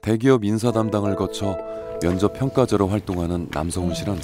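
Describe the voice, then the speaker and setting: A man with a very low voice reads documentary narration, calm and measured. Clear music plays under his words.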